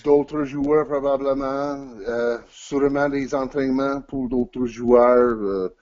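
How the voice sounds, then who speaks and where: A man whose first language is English, speaking French haltingly in an interview over an audio call and searching for words with many restarts. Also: medium pitch, steady tone, a little mellow, dull audio. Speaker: interview guest, team manager.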